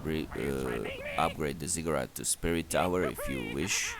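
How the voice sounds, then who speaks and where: A man giving halting, matter-of-fact, instructional commentary on a video game strategy. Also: very deep voice, huge pitch swings, background noise.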